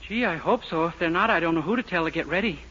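Naive, high-voiced hapless young fellow. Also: male voice, expressive, fast talker, bright, forceful tone, slightly rough, full character voice. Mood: innocent, puzzled. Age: young adult. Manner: boyish, innocent, literal-minded. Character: naive, hapless young man, earnest and easily flustered. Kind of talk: 1940s radio sitcom acting. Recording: noisy, muffled 1940s radio recording.

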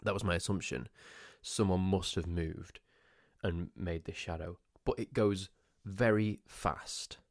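A man's voice: sits low, fairly even intonation, a little slow, vocal fry, dry recording, clean studio sound. A man hosting his own podcast, sounding groggy as if just woken up, telling a story in a rambling, chatty way with rhetorical questions and restarts. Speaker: podcast host. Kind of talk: casual solo podcast storytelling.

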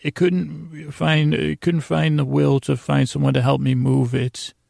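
An American man with a creaky, gravelly voice hosting a podcast, telling a meandering bedtime monologue that keeps interrupting itself. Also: on the high side, little pitch movement, darkish tone, no echo, clean studio sound. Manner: meandering, halting, self-interrupting, digressive. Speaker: podcast host.

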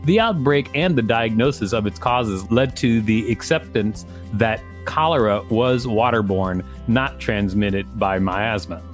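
A man's voice: fairly low pitch, unhurried, few pauses, soft background music. A man co-hosting a podcast, reading an article aloud and adding playful, mock-indignant asides. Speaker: podcast co-host.

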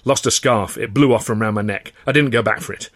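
Low-voiced man narrating a story dramatically. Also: fairly quick, no room sound, studio quality. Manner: expressive, short clipped phrases. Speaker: author reading his own novel. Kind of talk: dramatic first-person audiobook narration.

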